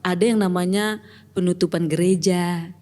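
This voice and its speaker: Brief: middle-aged Batak-accented woman, very low voice, earnest explainer, recorded in a reverberant room. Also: steady tone, moderate pace, mellow. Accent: Batak-flavoured Indonesian. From North Sumatra (Batak). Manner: earnest, explanatory, often adds "gitu ya". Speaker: guest, church pastoral team member. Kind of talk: podcast conversation.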